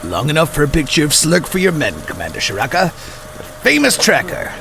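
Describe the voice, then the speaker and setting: A man playing a nervous, flattering half-human rest-stop keeper in a sci-fi audio drama, with an excitable, theatrical manner. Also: fairly high pitch, brisk, creaky, full character voice. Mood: fawning, ingratiating.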